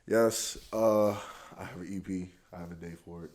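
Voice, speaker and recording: A young man with a low, deep, monotone voice, talking in a relaxed, slang-heavy way as a podcast guest. His speech is unhurried and easygoing, and it sounds a little roomy and echoey. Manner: laid-back slang, repeats "you dig". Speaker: podcast guest, rapper and label owner. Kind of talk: casual chat. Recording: echoey room.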